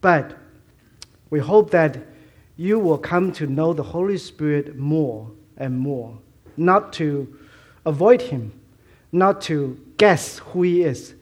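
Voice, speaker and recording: A middle-aged man from Malaysia preaching a sermon in English, with a mixed Malaysian, Hong Kong and Fuzhou accent, halting with many fillers and restarts and self-deprecating humor. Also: a little high, lively intonation, darkish tone. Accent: Malaysian Chinese English, mostly Fuzhounese-tinged.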